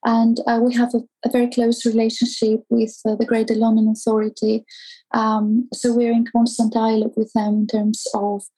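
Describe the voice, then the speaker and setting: Female academic guest, Greek accent, highish mellow voice, careful hesitant explanations. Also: completely flat delivery, moderate pace, rough recording. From Greece. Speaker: academic researcher, interview guest. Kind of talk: thoughtful conversational explanation.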